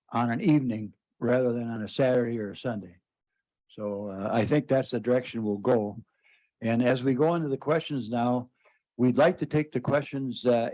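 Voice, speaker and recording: A man runs a public county board meeting in a loud, ordinary mid-range voice. He pauses often on "uh" as he works through each point. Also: medium pitch. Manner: halting, frequent "uh" fillers. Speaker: board chairman.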